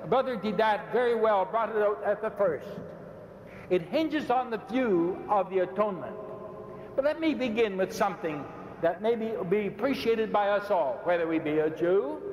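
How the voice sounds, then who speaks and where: A man's voice: sits high, dull audio. An older man, a bishop, delivering an impassioned debate speech from a podium on a large indoor arena stage, rousing and emphatic, repeating phrases for effect. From Canada. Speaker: bishop debating at a public event. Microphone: podium microphone, speaker moving around it. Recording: echoey arena PA sound with faint hum.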